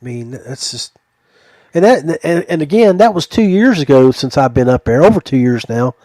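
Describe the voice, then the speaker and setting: Male American podcast host, lighter higher pitch, conversational, recorded dry. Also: animated, normal speed, mellow, dry recording. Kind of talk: casual interview chat.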